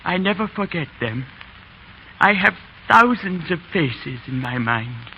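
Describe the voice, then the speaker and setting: An actor playing an elderly English schoolmaster, fond, donnish and gently humorous, speaking haltingly in a 1930s radio drama. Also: male voice, fairly high pitch, big pitch swings, dull audio. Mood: tender. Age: old man. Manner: halting, chuckling, sentimental old-man delivery. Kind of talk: 1930s radio drama acting, farewell speech. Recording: noisy vintage 1930s radio broadcast.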